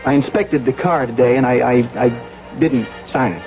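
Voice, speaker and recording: Actor for conscientious, uneasy young man, medium-pitched and halting, mild-mannered; old radio play with muffled broadcast sound. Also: faint music behind, natural voice. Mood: troubled, reluctant. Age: young adult. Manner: hesitant, stammering, soft-pedalling. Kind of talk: 1970s radio drama acting.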